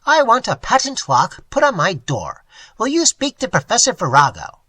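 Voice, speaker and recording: A bulky, peevish male professor, voiced by a man reading the story, speaking in a thin, high, sarcastic voice. His scorn is sharp and bright. The recording is close and dry. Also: huge pitch swings, normal speed, dry recording, heavily acted.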